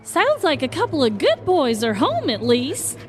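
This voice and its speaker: A goofy, childlike police deputy acted by a woman gushes in very high-pitched baby talk. The delivery swoops playfully, in a comic podcast story with faint music. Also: huge pitch swings, a little slow, heavily acted.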